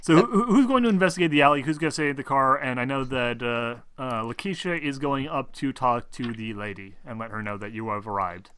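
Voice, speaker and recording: A man running a tabletop game, narrating casually in a halting, rambling way. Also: medium pitch, fairly bright tone, clean tone, no echo. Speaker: game master.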